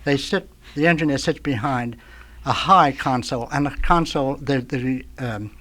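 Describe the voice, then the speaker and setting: An older man, a radio veteran, tells anecdotes as a talk show guest in a halting, rambling way, groping for words. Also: medium pitch, a bit bright. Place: radio studio.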